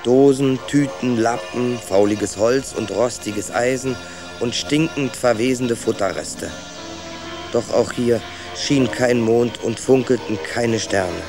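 A man's voice: medium pitch, slow pace, music in the background. A man playing a rat who narrates his youthful journey in a scripted children's radio play. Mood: atmospheric.

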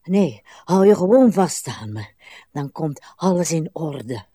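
An actress playing a weary, anxious old wife, plaintive and halting, in a radio play. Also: female voice, deep voice, big pitch swings, dark tone, husky, no echo, clean studio sound, full character voice. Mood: pleading. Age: older.

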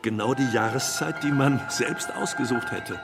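An actor in a German radio play voicing a cheerful, self-satisfied family gentleman, jovial and talkative. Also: medium pitch, normal speed, gravelly, long reverb tail, loud music behind the voice, close to an everyday voice. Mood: delighted.

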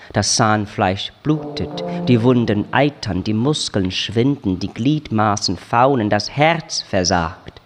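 A man giving a measured, scripted literary narration for a radio drama, telling the story in short declarative phrases. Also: fairly low pitch. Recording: loud music under the voice. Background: loud music with brass sounds.

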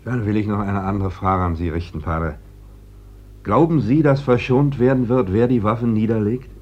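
An actor playing a shrewd, insistent working man in a German radio play, questioning deliberately with an ironic edge. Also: lowish voice, close to an everyday voice. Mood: quietly probing. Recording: dull, noisy vintage radio recording.

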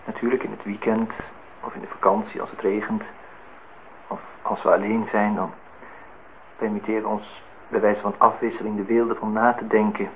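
An actor playing a polite, reflective, wistful office worker in a Dutch radio drama, speaking formally and deliberately. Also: male voice, lowish voice, little pitch movement, close to an everyday voice. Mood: pensive. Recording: tinny, band-limited old radio recording, faint noise.

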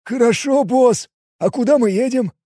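A man's voice: extremely high pitch, normal speed, dark tone, no room sound, clean studio sound, voice pushed up. A man voicing a panicky, cowardly, foul-mouthed young male sidekick with a frantic, whiny delivery in an audiobook. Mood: anxious, whining.

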